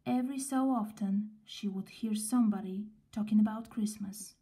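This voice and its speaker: A woman reading a children's story aloud in a gentle, expressive way, with pauses between phrases. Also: mid-range voice.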